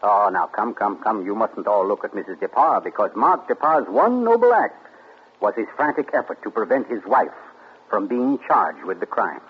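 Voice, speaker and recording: An actor playing a suave, gloating male amateur sleuth in 1940s radio acting, theatrical and deliberate. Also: fairly low pitch, very animated, husky, lo-fi sound, exaggerated voice. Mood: triumphant. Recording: scratchy 1940s radio broadcast.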